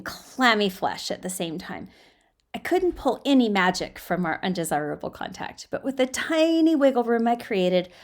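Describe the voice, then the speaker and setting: A woman reading a story aloud as an amateur narrator, in an expressive, emphatic storytelling manner with occasional stumbles over words. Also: medium pitch. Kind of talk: dramatic audiobook-style fiction narration.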